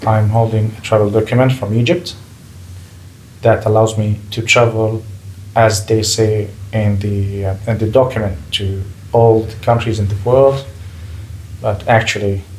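Low-voiced man, measured and careful. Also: poor audio. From Palestinian refugee, grew up in Gulf region. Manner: measured, pauses and restarts while choosing words. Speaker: guest. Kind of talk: interview, personal account. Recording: faint background noise.

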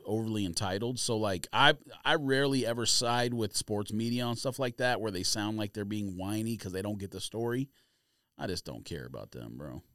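A man's voice: mid-range voice, a bit bright, clean tone, no echo, studio quality. A man co-hosting a casual sports podcast, arguing his opinions in slangy everyday talk. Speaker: podcast co-host.

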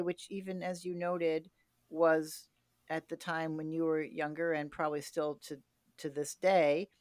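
Low-voiced woman interviewer, dry close sound. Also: no echo. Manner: explanatory, measured, recaps and clarifies. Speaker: podcast host, interviewer. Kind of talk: conversational interviewing.

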